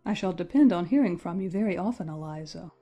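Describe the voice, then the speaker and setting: Actress as calm young bride, really dark mellow voice, earnest friendly asking, audiobook drama, bit of music. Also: female voice, fairly low pitch, quick pace, dull audio. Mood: quiet entreaty. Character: plain-spoken, composed young bride, warm friend.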